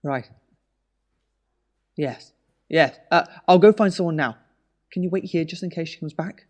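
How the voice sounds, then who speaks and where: A man's voice: fairly high pitch, unhurried, close to an everyday voice. A man playing an anxious, stubborn younger brother and worried husband in a naturalistic audio drama, hesitant and earnest.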